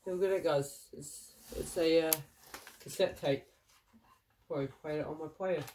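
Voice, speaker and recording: A man's voice: fairly high pitch, fairly even intonation, normal speed, dark tone, far from the mic, a little hiss or hum, rough recording. A young man with a stuffy nose from a cold, chatting in a rambling way as he unboxes old gear in a cluttered room. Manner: chatty, rambling, frequent fillers. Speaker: vlogger. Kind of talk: casual unboxing vlog.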